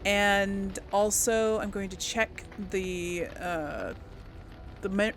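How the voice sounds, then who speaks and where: A woman's voice: mid-range voice, gravelly, slight background noise. A woman hosting a video game playthrough, commenting casually and thinking aloud as she goes. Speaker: gaming video host.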